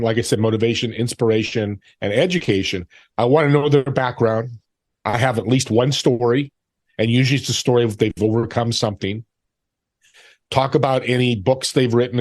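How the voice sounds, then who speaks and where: A man's voice: mid-range voice, darkish tone, no room sound. Man in a dry-sounding interview. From United States. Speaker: podcast host being interviewed. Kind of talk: conversational interview answers.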